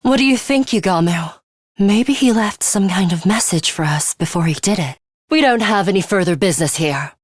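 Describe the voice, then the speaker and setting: A woman performs a young female fighter from a video game, stern and composed. Her delivery is measured and matter-of-fact, with blunt, pragmatic phrasing. The voice sits at a medium pitch and is recorded very dry and close. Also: lively intonation, no echo, close to an everyday voice. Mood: cool, analytical. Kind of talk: English video game voice acting.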